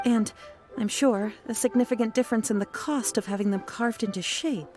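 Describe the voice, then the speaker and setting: An actress playing a knowledgeable, composed gem expert in an anime-style game, formal and explanatory. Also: female voice, on the high side, moderate pace, warm tone, close to an everyday voice. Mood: matter-of-fact. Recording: faint music behind the voice.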